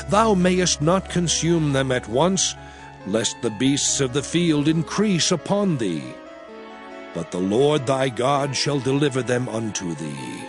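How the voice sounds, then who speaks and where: A man playing a solemn, commanding Hebrew prophet, preaching God's law in a grave, declamatory dramatized scripture reading. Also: on the high side. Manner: grave, declamatory, deliberate phrasing.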